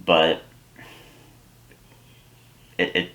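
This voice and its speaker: Casting: man playing awkward, earnest comedy-sketch patient, deadpan and wordy, crisp bright tone. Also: drawn-out words. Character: earnest, awkward male speech therapy patient.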